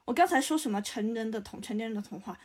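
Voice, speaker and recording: High-pitched young woman with a husky edge. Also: lively intonation, racing, darkish tone. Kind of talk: casual podcast chat among friends.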